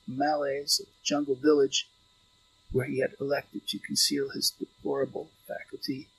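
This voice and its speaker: Male audiobook narrator, slightly high pitch, gravelly creak, measured phrasing, literary storytelling. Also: a bit bright, slight background noise, poor audio.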